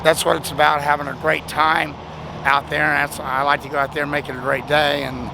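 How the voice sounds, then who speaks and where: Man, hoarse and gravelly, slightly high, chatty and rambling; outdoor rest stop with loud traffic behind. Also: flat delivery, a little fast. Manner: rambling, chatty, self-deprecating. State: voice nearly lost, hoarse. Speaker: vlogger. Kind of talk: casual thank-you vlog.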